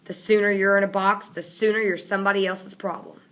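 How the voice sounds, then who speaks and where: A woman's voice: medium pitch, flat delivery, a little fast, a bit bright, close to an everyday voice. A woman voicing a sneering adult soldier who barks orders at kids. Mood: barking. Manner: barking, contemptuous. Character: gruff adult male soldier guard, sneering.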